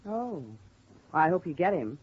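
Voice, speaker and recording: A woman's voice: fairly low pitch, very animated, normal speed, breathy edge. An American woman playing a poised, polite woman in hiding, composed, in a 1950s radio drama. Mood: regretful, composed. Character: poised, polite female nightclub comic in hiding. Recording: muffled vintage radio recording.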